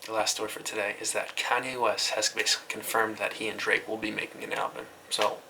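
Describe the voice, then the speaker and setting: A man's voice: lowish voice, little pitch movement, quick pace. A man hosting a casual web news recap in an informal, offhand way, with some light noise in the recording. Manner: informal, offhand, occasionally swearing. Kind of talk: casual internet news recap, loosely scripted commentary.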